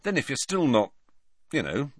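A man's voice: medium pitch, sing-song, normal speed, breathy edge, no echo, studio quality, voice pushed up. A man voicing an earnest, reasonable teenage schoolboy in an audiobook, straightforward and youthful in manner. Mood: reasonable, encouraging.

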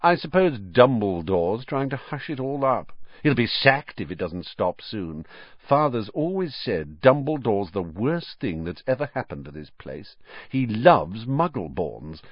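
A spiteful, drawling schoolboy in his early teens, as a man puts him on for an audiobook, pitched up from the reader's low voice and swinging lively through his sneers. The recording is dry and a little dull. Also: unhurried, no room sound. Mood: sneering. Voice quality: drawling, scornful. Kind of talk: audiobook character voice.

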